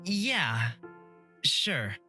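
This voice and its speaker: A woman's voice: sits low, very animated, very slow, sharp tone, faint music behind, pitched below the performer's own voice, exaggerated voice. A woman voicing an uneasy young hero of a fantasy visual novel, with terse, hesitant delivery.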